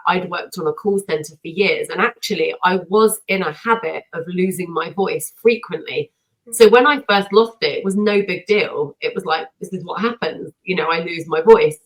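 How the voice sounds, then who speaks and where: A woman singer and vocal coach, a guest on a podcast, telling her story in an energetic, self-deprecating way. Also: fairly low pitch, low-quality recording. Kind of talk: casual podcast conversation.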